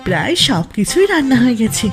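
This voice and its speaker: Woman playing a high, lilting cartoon mother. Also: very animated, a little fast, vocal fry, soft background music. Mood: busy, obliging. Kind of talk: comic cartoon dialogue.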